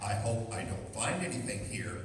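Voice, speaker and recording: A man's voice: fairly low pitch, big pitch swings, moderate pace. A man playing a brusque, suspicious, sardonic police inspector in an old-time radio detective drama. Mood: stern, sardonic. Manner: brusque, insistent, wry. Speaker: amateur radio theatre actor. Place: live stage performance in a large room. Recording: very echoey hall from far off, faint noise.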